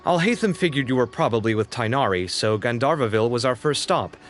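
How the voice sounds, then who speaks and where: Animated, expressive young man by a male actor. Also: medium pitch, fairly quick, lightly acted. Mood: explanatory. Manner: expressive, conversational, easily startled. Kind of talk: anime video game voice acting. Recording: long reverb, music behind.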